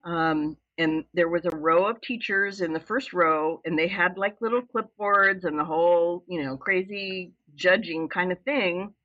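A woman in her seventies from Southern California, telling a personal story as a podcast guest in a loud, exuberant way. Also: fairly low pitch, unhurried, hardly any pauses, no room sound, lo-fi sound. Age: 70s.

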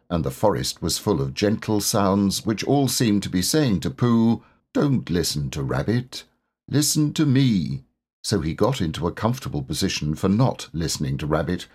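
Low-voiced man narrating, richly animated. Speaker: audiobook narrator. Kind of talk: children's storybook narration.

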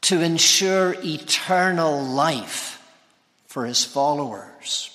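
A man's voice: a little high, expressive, sharp tone. Man preaching in a long-echoing hall. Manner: measured, deliberate phrasing with pauses. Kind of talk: sermon. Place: large church interior, preaching to congregation. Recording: long reverberant church hall echo.

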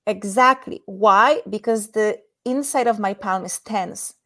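A woman's voice: mid-range voice, measured pace, fairly bright tone. A woman from Poland with a Polish accent, a guest in a podcast interview, speaking in an earnest, explanatory way and guiding listeners through simple exercises. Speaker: intimacy coach guest.

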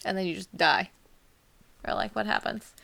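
A woman co-hosting a casual podcast over drinks, talking loudly and joking around. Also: mid-range voice, normal speed, vocal fry, a little hiss or hum. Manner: loud voice, jokey. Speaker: new podcast co-host.

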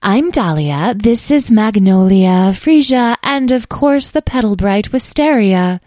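A woman's voice: medium pitch, animated, takes it slowly, a little mellow, no echo, clean studio sound, pitched above the performer's own voice. A woman voicing a vain, imperious, delicate flower fairy for a children's story, with haughty, flowery formal phrasing. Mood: sweet, preening.

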